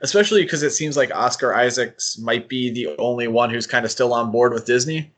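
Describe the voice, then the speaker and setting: A man's voice: medium pitch, fairly quick, fairly bright tone. A man co-hosting a podcast, thinking aloud in a casual fan discussion and often qualifying what he says. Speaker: podcast co-host.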